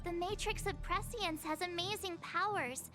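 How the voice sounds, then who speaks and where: A woman's voice: very high-pitched, normal speed, loud music behind the voice. A woman voicing an upbeat, plucky young girl adventurer in a video game, casual and cheerfully determined.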